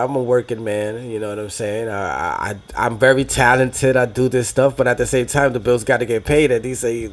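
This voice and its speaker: Loose-talking Dominican American podcast host. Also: male voice, medium pitch. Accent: Dominican American, New Jersey. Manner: loose, profane, switches into Spanish. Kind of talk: casual banter.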